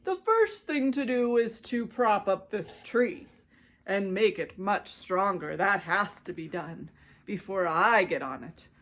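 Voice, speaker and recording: Woman acting a huge gentle male elephant, loud, drawn-out, earnest, expressive, children's storybook character. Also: medium pitch. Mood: earnest, resolved. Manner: slow, emphatic, drawn-out delivery.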